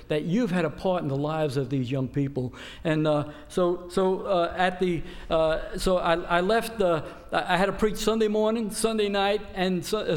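Rambling older preacher, fairly high voice. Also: male voice. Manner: rambling, many restarts and fillers. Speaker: missionary preacher. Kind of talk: informal sermon report with a joke.

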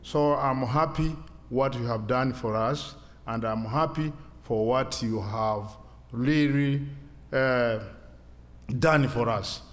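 A man in his sixties from Kenya with a Kenyan accent, a Swahili speaker giving a church testimony in halting, simple English. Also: medium pitch, long reverb tail. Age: 60s.

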